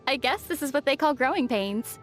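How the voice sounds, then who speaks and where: A young traveler heroine from a video game. Her voice is high and lively in its intonation, yet composed, with a playful, quick-witted teasing manner. Soft music plays faintly underneath. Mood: matter-of-fact. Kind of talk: video game character dialogue.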